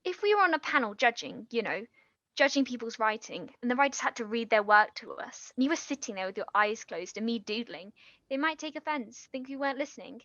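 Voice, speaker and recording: A woman acting a nervous, eager aspiring writer in a naturalistic stage play, talking in a flustered, halting, rambling way. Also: a little high, lively intonation, pushed and bright, dry recording, lo-fi sound. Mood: rambling, awkward. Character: nervous, eager female aspiring writer hiding her past.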